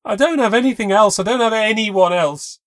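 A man voicing a distraught young woman who clings to her boyfriend, pleading in a high, tearful voice. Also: lively intonation, normal speed, no echo, studio quality, close to an everyday voice.

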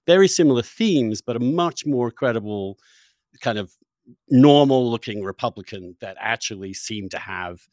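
A man's voice: mid-range voice, lively intonation, normal speed, darkish tone, no echo. A man interviewing in a conversational, thoughtful way, searching for his words and rephrasing as he goes. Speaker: interviewer, podcast host.

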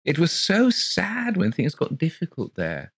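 A man's voice: a little high, wildly swooping pitch, unhurried, creaky, slightly rough, no echo, pitched above the performer's own voice, exaggerated voice. A man voicing a gushing, fluttery middle-aged woman who is eager to rent rooms. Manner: effusive, breathless, fluttery.

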